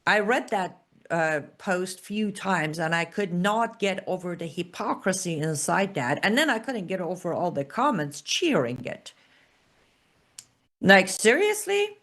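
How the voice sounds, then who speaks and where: A woman's voice: on the low side, very animated, unhurried, fairly bright tone. A woman from Iran, hosting her own political show in an emphatic, sarcastic opinion monologue. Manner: emphatic, sarcastic, punchy repeated phrases. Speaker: political commentator, show host.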